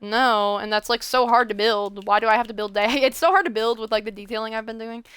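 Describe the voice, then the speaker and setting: Woman host, clear voice, dry close sound, rambling chat, lots of fillers. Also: fairly high pitch, a little fast, fairly bright tone, dry recording. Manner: rambling, many fillers and restarts. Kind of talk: casual chat while building in a game.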